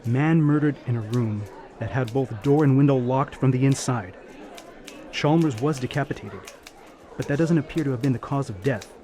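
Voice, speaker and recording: An actor playing a brisk, puzzled male police detective sergeant in a radio drama, with an emphatic delivery. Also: medium pitch, mellow, natural voice. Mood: puzzled, businesslike.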